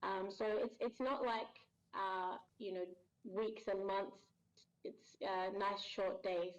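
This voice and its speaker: A woman's voice: mid-range voice, steady tone. Thoughtful woman on a dull lo-fi line. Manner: measured, thoughtful, explains at length with pauses. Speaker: guest voice actor. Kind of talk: casual interview chat. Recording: dull lo-fi sound.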